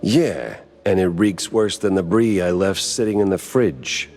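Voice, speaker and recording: Low-voiced wry cartoon detective. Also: male voice, measured pace, faint music behind, exaggerated voice. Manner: wisecracking, deadpan. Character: wry hard-boiled cartoon mouse detective man. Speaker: private investigator protagonist. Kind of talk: 1930s noir cartoon game acting.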